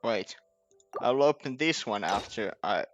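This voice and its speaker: A man giving casual gameplay commentary, thinking aloud in a halting, repetitive way as he plans. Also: fairly low pitch, expressive. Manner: halting, repetitive, planning as he goes. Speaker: gaming video creator. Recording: dull lo-fi mic, game music behind. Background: faint game music.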